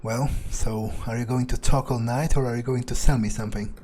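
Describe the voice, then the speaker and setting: Male gamer staying in character, mid-pitched, dry and blunt. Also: fairly even intonation, brisk, warm tone, slight background noise. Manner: dry, blunt and laconic, with cursing. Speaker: gamer roleplaying his character. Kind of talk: in-character game roleplay commentary.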